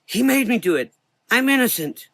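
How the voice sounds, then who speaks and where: Guy doing a scared pleading boy, high shaky stammery voice, horror audio drama. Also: big pitch swings, normal speed, a bit bright, dry recording, heavily acted. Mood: desperate pleading. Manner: trembling, stammering. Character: terrified young coachman boy, pleading and guilt-ridden.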